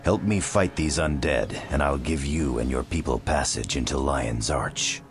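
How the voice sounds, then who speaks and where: An actor playing a gruff, solemn soldier of a holy order in a video game cutscene, speaking gravely and formally. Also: male voice, extremely low pitch, unhurried, soft background music.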